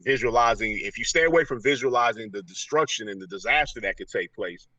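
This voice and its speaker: Male American motivational speaker, bright forceful voice, slangy interview talk, slightly distant dull recording. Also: mid-range voice, animated, quick pace, no echo. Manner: slangy, repeats "you know what I'm saying".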